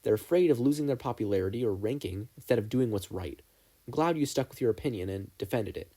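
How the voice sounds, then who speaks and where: A man voicing a stern but proud teacher in a fan fiction story, lecturing in a measured, stoic way. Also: mid-range voice, expressive, dark tone, close to an everyday voice. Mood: quietly proud.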